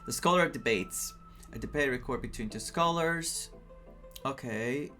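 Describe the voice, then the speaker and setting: Man streaming a game, medium-pitched, reactive. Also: measured pace, pushed and bright. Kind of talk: playthrough commentary, reading game text aloud. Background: game music.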